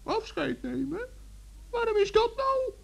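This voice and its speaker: A performer voicing a small, kindly, earnest forest gnome with a creaky voice in a children's radio play. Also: very high-pitched, big pitch swings, unhurried, exaggerated voice. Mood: polite, concerned. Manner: earnest, admonishing, polite.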